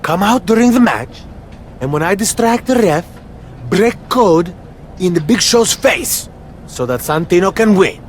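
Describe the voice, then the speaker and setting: A man's voice: high-pitched, big pitch swings, measured pace, slight background noise. A man playing a goofy, naive, boastful comic wrestler with a childlike, eager manner in a video game cutscene. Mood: nervous pleading.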